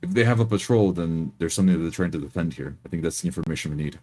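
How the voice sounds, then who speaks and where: A man's voice: deep voice, rapid-fire, darkish tone. A man playing a tabletop roleplay, speaking firmly in character and describing his own actions.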